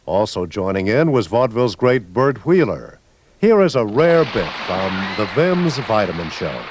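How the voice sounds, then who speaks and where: Lively-pitched man narrating radio. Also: moderate pace, noisy background. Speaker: radio documentary narrator and host. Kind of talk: scripted narration for a radio tribute.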